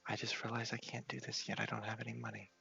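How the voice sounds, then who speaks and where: A man with a low, crackly voice softly narrates a video game in ASMR style, often half-whispering. The sound is a little muffled, with music faintly underneath. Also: completely flat delivery, fast, creaky, husky. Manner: soft-spoken, half-whispered. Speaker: host of a gaming series.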